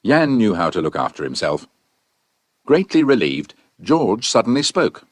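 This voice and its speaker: A man's voice: on the low side, sing-song, unhurried, no room sound. A man reading scripted audiobook narration as a storyteller.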